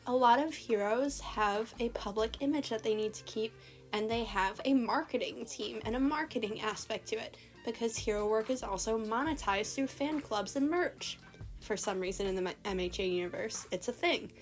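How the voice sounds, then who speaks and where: Young woman rambling about her art, bit hoarse from being sick, lots of "like", faint music behind. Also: fairly high pitch, normal speed. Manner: chatty, rambling, many "like" fillers. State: slightly hoarse from a recent illness. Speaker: artist commenting on a character redesign. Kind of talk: casual voice-over commentary. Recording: voice-over with faint background music.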